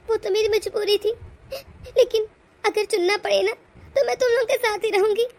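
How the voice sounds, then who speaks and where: A woman's voice: very high-pitched, fairly even intonation, moderate pace, darkish tone. A woman voicing a meek, long-suffering mother-in-law in a cartoon family drama, crying as she speaks. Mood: tearful. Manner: sobbing, resigned.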